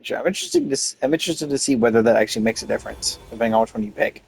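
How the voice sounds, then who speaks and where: A man's voice: mid-range voice, sing-song, racing, gravelly, darkish tone, slight background noise. A man giving casual commentary as he plays a video game. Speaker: game streamer.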